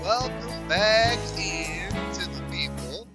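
A man's voice: high voice, expressive, slow pace, over loud music. A man hosting a radio sports show, chatting loosely with plenty of fillers. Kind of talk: casual sports talk. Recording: dull lo-fi broadcast sound.

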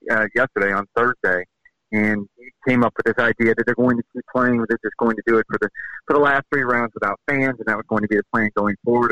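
A man reports from the scene in a quick, bright, pressing voice, laying things out step by step. The sound is dry and close. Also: mid-range voice, dry recording, rough recording. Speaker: golf reporter on site. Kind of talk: rapid on-air report.

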